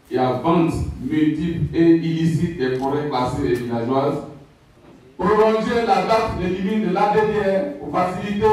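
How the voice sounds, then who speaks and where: A man with a high-pitched voice reads out points to a meeting. He is picked up from a distance, with very strong echo around his words. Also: a little mellow. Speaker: speaker at community dialogue. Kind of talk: public listing of problems and recommendations. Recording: very echoey hall, distant microphone.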